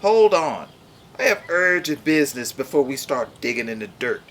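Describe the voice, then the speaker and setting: A woman's voice: rumbling low, very animated, moderate pace, heavily acted. A woman voicing an aggrieved, dramatic berry in a storybook, indignant and pleading. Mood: urgent, aggrieved. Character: aggrieved, dramatic berry demanding action at farm meeting.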